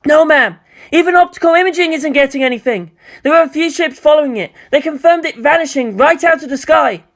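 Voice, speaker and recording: A woman voicing an earnest, panicky young male officer in a story reading, reporting in a hurried, urgent way. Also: sits high, pitched above the performer's own voice. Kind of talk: dramatic audiobook character acting.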